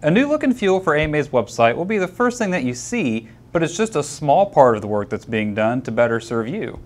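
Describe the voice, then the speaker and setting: A man's voice: a little high, sing-song, a little fast. A man co-hosting a hobby news show, reading scripted segments with a touch of dry humor in banter. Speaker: show co-host.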